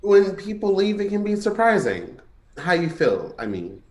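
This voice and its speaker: A man's voice: high-pitched, sing-song, normal speed. A man acting in a play reading as a guarded, edgy but caring man, speaking in hesitant, clipped phrases. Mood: puzzled. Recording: roomy, echoey sound.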